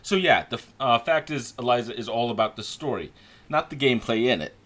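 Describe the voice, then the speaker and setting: A man's voice: medium pitch, animated, fairly quick, fairly bright tone. A man giving a conversational, opinionated voice-over review as a video game critic.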